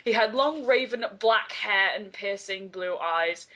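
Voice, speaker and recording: A woman with a medium-pitched voice performs fan fiction aloud. She steps out of the reading to comment on it. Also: a little slow, bright, forceful tone. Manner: interrupts her reading with comments. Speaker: player in a dramatic reading game. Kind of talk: dramatic reading of fan fiction with joking asides.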